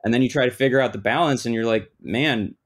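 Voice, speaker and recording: A man's voice: mid-range voice, quick pace. A man of Lebanese origin, a thru-hiker guest, talking casually in an interview with many "like" fillers. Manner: relaxed, lots of "like" fillers.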